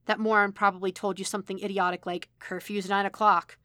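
A woman voicing a harsh, commanding older male handler from a story, gruff and contemptuous, with gravelly, clipped orders. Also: mid-range voice, little pitch movement, brisk, bright, forceful tone, no echo, studio quality, lightly acted. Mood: scornful, resigned. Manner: barking, clipped orders and scornful swearing.

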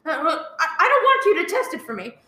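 A woman playing a nervous, demanding bedridden wife in an old-time radio thriller, agitated and stammering with shrill complaints. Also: big pitch swings, fast talker, bright, forceful tone, exaggerated voice. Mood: indignant. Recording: roomy, echoing live recording.

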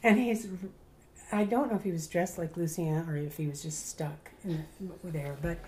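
An older Canadian woman, a veteran stage actor, casually telling rambling, animated stories in an interview and often breaking off to correct herself. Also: on the low side, normal speed. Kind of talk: casual reminiscing, storytelling.

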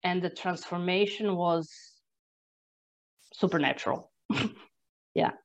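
A woman's voice: lowish voice, slow pace. Earnest Croatian-accented woman, dull sound. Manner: reflective, earnest, with sighs and restarts. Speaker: energy therapist, guest. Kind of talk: personal storytelling in conversation.

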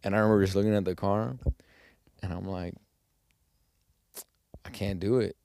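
A man's voice: normal speed, vocal fry, no echo. A young man chatting casually on a podcast, telling stories with lots of slang. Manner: slangy, animated storytelling, jumps into high mock voices when quoting. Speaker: podcast host.

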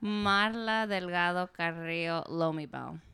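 A woman's voice: fairly low pitch, measured pace, sharp tone. A woman co-hosting a casual podcast, curious and chatty, from Mexico.

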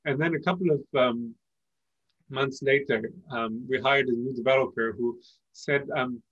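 A man who is a native Persian speaker, answering interview questions as a company founder in a conversational, explanatory way with pauses mid-phrase. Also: mid-range voice, a bit bright, dry recording, dull audio.

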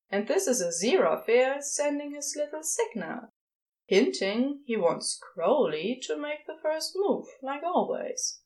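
A woman reading fiction aloud as expressive scripted narration, with dramatic pauses. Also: fairly high pitch, rough recording. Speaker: podfic reader.

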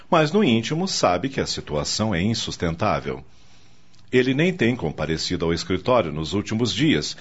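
A man reading scripted narration for a radio drama. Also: low-pitched. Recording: dull lo-fi radio recording.

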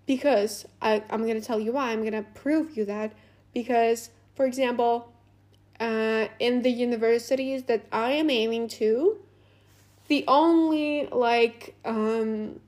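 A young woman from Latvia, a native Russian speaker, talks slowly in a slightly high voice. She rambles through a casual, unscripted monologue, full of fillers and restarts. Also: steady tone, darkish tone. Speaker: podcast host.

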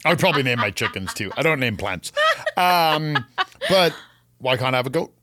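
Very low, lively Canadian woman's voice. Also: moderate pace, background noise. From Calgary, Canada. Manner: blunt, jokey, informal. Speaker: co-host, landscaper. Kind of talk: casual chat.

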